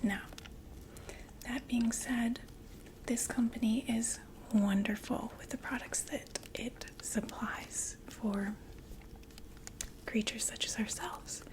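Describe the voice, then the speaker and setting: A woman playing a polite vampire makeup saleswoman in an ASMR roleplay, whispering softly with gentle, attentive, explanatory patter. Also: a little high, fairly even intonation, takes it slowly, pauses often, poor audio. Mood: helpful, soothing. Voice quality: soft breathy whisper.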